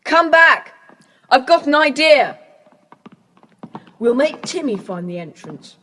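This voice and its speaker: A woman playing a confident, bossy British boy who leads child adventurers in a children's audio drama, speaking with crisp authority. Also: high-pitched, very animated, takes it slowly, bright, forceful tone, full character voice. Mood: commanding, excited. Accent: British English. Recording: hissy cassette tape, long reverb.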